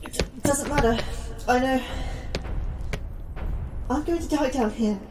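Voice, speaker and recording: An actress playing an anxious, desperate astrobiologist in an audio drama, with a nervous, faltering, emotional delivery. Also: female voice, high voice, slow, noisy background. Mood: fearful, desperate. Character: anxious, desperate astrobiologist woman, frightened and defiant.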